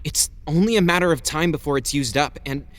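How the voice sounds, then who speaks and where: A man's voice: fairly high pitch, a little fast, lightly acted. An actor playing an exasperated, protective young man in an audio drama, speaking bluntly and informally. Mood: exasperated, concerned.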